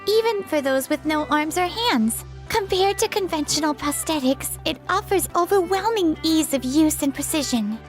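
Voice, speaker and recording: A woman's voice: sits high, animated, moderate pace, few pauses, faint music behind. A woman playing a polite, earnest young businesswoman in a manga dub, courteous and reasoning in manner. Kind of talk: manga dub character acting.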